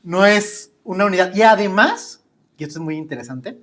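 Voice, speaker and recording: A man's voice: high-pitched, animated, moderate pace, fairly bright tone. A man hosting a podcast, explaining with enthusiasm. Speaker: podcast host. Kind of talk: casual interview chat.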